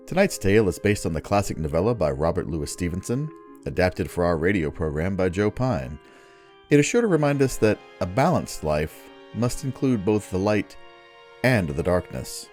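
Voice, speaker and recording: Very deep-voiced theatrical male announcer over music. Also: darkish tone. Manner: theatrical, showman-like. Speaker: radio play announcer. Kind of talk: old-fashioned radio announcing, scripted.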